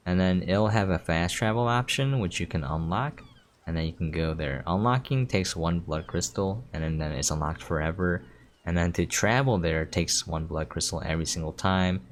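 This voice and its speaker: A man's voice: deep voice, normal speed, a little hiss or hum. A man giving casual commentary as he hosts a gameplay preview. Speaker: game preview host. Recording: music behind the voice. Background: game music.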